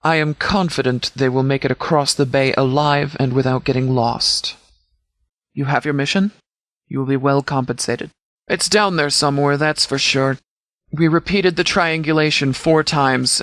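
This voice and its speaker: Man acting as a formal, higher-pitched military commander. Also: a bit bright, no room sound, natural voice. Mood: composed, authoritative. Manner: formal, measured, tactical phrasing. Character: composed, formal, pragmatic male military commander. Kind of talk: video game dialogue acting.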